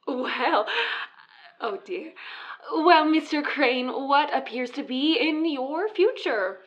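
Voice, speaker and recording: An actress playing a flirtatious gossip show hostess in an audio drama, coy and gushing, with dull sound. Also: female voice, high-pitched, animated. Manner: coy, drawn-out.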